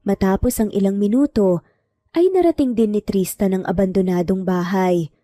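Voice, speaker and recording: A woman's voice: mid-range voice, moderate pace, dark tone, no echo, studio quality, faint music behind. A woman narrating a scripted romantic drama story as a storyteller.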